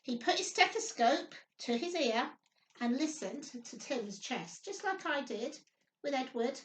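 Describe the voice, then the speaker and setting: A woman with a high, very lively voice hosts a children's storytime, heard from a little way off in an echoey room. She reads and explains in simple, sing-song phrases, often tagging on little questions for the children. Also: measured pace. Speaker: children's storytime host, nursery worker. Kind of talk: reading picture book aloud to young children. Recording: roomy echo, distant microphone.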